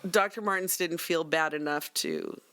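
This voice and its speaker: A woman's voice: low-pitched, normal speed, pushed and bright, clear, resonant tone. A woman talking casually as a player in a group game discussion. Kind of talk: casual chat.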